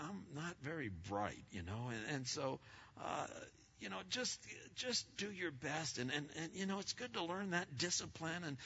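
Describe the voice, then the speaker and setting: A man's voice: fairly high pitch, creaky. Big-voiced preacher man, lo-fi. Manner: loud and emphatic, with many stammered restarts. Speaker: pastor. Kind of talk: sermon to a congregation. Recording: lo-fi recording.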